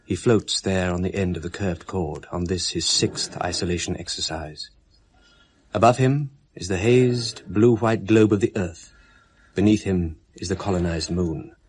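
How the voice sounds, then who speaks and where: Husky, deep-voiced male narrator, measured and literary, for a 1970s radio play. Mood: calm. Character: detached male narrator of a space drama.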